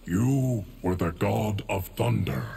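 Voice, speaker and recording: A man playing a stoic, ancient guardian of time in a video game, speaking formally and gravely with a gravelly voice. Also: lively intonation, dark tone, heavily acted. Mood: solemn, measured. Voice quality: deep, gravelly. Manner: slow, measured, formal. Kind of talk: scripted game dialogue.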